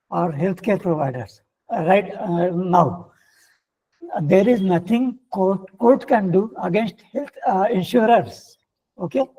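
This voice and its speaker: A man with an Indian English accent giving a workshop lecture, halting with many fillers and restarts, his voice getting hoarse. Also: high-pitched, mellow, poor audio. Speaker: guest lecturer. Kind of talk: online faculty workshop lecture.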